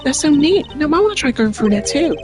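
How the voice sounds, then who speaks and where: Woman acting young girl game sidekick, high pitch, perky, cheeky, teasing, informal, music in background. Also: very animated, fast. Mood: cheerful, urging. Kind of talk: hobby voice acting of a video game. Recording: music behind the voice.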